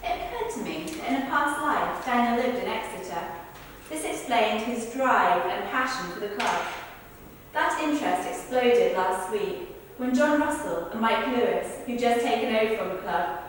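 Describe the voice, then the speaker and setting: Woman acting earnest storyteller, stage monologue, high-pitched, distant in a reverberant hall. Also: lively intonation, moderate pace, natural voice. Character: people recounting a football club's celebrity visit. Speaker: performer. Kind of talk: verbatim theatre monologues read in rehearsal. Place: large reverberant rehearsal room. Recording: echoey hall, distant mic, faint noise.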